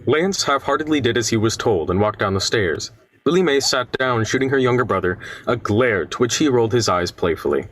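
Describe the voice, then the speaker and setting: A man's voice: mid-range voice, expressive, brisk, fairly bright tone, dry recording, low-quality recording. A man reading scripted narration for a radio play.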